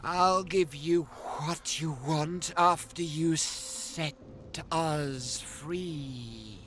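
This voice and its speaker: An actor playing a hideous, mad caged creature in a video game, pleading in a drawn-out, erratic way. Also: mid-range voice, a bit bright, slight background noise. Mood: wheedling.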